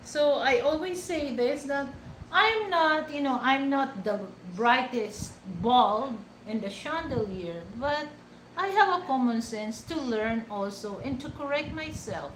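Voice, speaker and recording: Filipino lady vlogging, slow and rambly, kinda high voice, echoey room. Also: animated, fairly bright tone, slight background noise. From Philippines. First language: Hiligaynon. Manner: rambling, many restarts and fillers. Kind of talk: casual talk to camera.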